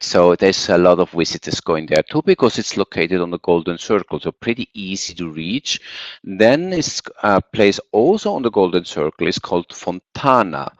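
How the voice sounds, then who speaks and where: A middle-aged or older man with an Icelandic accent, giving an informal travel presentation as a guest speaker. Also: fairly low pitch. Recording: muffled, roomy online webinar audio.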